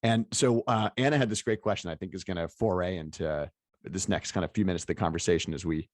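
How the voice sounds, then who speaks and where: A man's voice: deep voice, fast, no echo, clean studio sound. A man interviewing in a casual, rambling conversational style, circling his way toward each point. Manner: rambling, hedging phrasing with frequent "like". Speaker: interviewer, event host.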